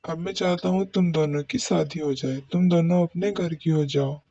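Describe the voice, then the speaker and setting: A man's voice: fairly high pitch, fairly even intonation, normal speed, warm tone, no room sound. A man voicing a doting, indulgent middle-aged merchant father in cartoon dialogue, on a slightly dull recording. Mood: decided.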